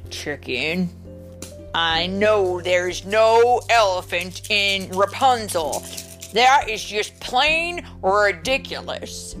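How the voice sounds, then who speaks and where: A weary Papa chicken, played by a woman, speaking slowly at a middle pitch. The parent sounds patient yet exasperated and skeptical, in storybook style with faint music behind. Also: animated, fairly bright tone. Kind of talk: picture-book read-aloud character voice.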